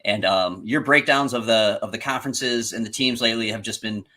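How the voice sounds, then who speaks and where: Young man, stream host, bright voice, enthusiastic, casual chat. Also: lowish voice. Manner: enthusiastic, quick to praise.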